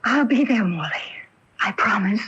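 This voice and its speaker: An actress playing an excitable, tender young woman in a radio drama, with an earnest, emotional delivery. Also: mid-range voice, normal speed, music in the background, lightly acted. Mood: tender, devoted. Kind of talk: 1980 radio drama acting. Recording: dull AM radio airing from 1980.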